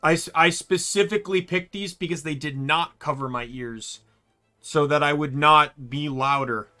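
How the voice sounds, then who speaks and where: A man's voice: a little high, moderate pace, sharp tone, soft background music. A man streaming a game from his room, chatting casually and rambling, often restarting his sentences. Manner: rambling, self-correcting. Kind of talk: casual gameplay chat. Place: home room.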